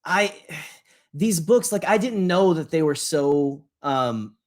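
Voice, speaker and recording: A man co-hosting a podcast, chatting casually in a rambling, halting way. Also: a little high, animated. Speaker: podcast co-host. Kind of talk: casual chat.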